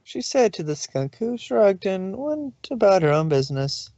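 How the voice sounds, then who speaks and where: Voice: medium pitch, very animated, moderate pace, mellow, no echo. A narrator reading fan fiction aloud informally, occasionally stumbling over words, on a slightly lo-fi recording. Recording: slightly dull, lo-fi sound.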